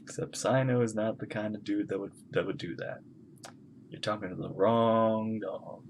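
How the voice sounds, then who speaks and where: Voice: mid-range voice, steady tone, moderate pace, vocal fry, a little hiss or hum. A streamer giving casual, laid-back and wry commentary while playing a game. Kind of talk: casual gameplay commentary and reading along. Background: game music.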